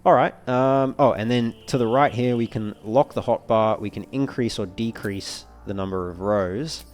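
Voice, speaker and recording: A man's voice: medium pitch, normal speed, darkish tone, faint music behind. A man giving casual commentary on a video game walkthrough, thinking aloud as he reads through menus. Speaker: let's player.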